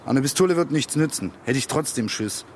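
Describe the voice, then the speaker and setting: A man's voice: mid-range voice, lively intonation, brisk, a little mellow, a little hiss or hum, close to an everyday voice. An actor playing a blunt, lonely and combative working-class man in a radio drama, speaking in short colloquial phrases. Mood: confiding, intense. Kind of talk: naturalistic radio drama dialogue.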